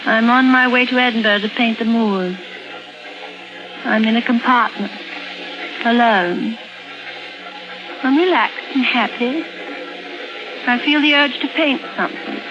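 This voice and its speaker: A dull old radio recording with music behind carries an actress as a fragile, tormented woman painter. Her voice sits high. She speaks haltingly and intensely, in the dramatic manner of 1950s radio suspense. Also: close to an everyday voice. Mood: entranced, subdued. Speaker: actor.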